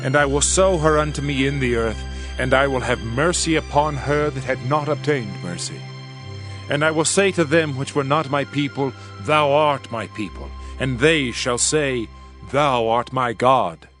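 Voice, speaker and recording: An actor playing a grave Hebrew prophet who proclaims scripture in a solemn, deliberate, declamatory way. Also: male voice, mid-range voice, over music, natural voice. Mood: solemn, earnest. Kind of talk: dramatized King James scripture reading.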